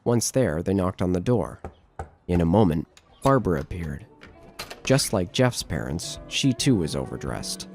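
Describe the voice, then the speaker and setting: A man's voice: sits low, very animated, a little slow, gravelly, a little mellow, soft background music. A man narrating a scripted horror story.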